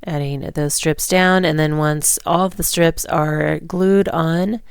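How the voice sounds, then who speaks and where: A woman narrating a card-making tutorial in relaxed, rambling steps, hoarse and stuffy from a cold. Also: deep voice, slow pace, no echo, studio quality. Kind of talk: voice-over craft tutorial.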